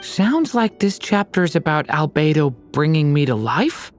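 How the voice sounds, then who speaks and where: A woman's voice: sits low, very animated, a little slow, faint music behind. Earnest young boy dragon, wistful. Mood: curious. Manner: earnest, plaintive. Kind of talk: game voice acting.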